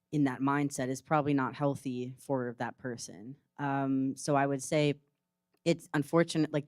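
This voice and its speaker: A panelist gives blunt, matter-of-fact advice in a level way. The voice is smooth and clear, and the sound is dry and close. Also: medium pitch, no room sound. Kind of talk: panel answers.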